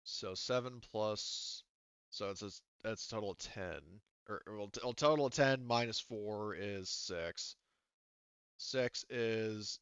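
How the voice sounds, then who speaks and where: A low, smooth male voice, close and dry on a slightly dull microphone. He works as game master, thinking aloud and pausing often as he sorts out rules for the table. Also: a bit bright, no echo. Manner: halting, thinking aloud while adjudicating rules. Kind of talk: running a tabletop role-playing game.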